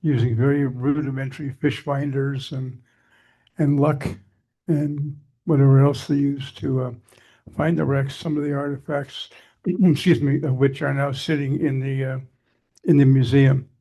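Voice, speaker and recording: A man's voice: medium pitch, level tone, unhurried, dark tone. An older Canadian man giving a chatty, digressive talk to a society meeting, his voice scratchy from allergies. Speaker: guest speaker.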